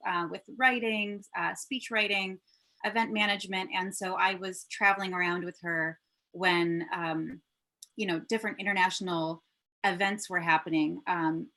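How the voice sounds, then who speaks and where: A woman's voice: fairly low pitch, pushed and bright. A woman producer, raised between Michigan and Germany with German as her first language, chatting upbeat as a guest on a video call interview. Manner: upbeat, chatty, quick laughs.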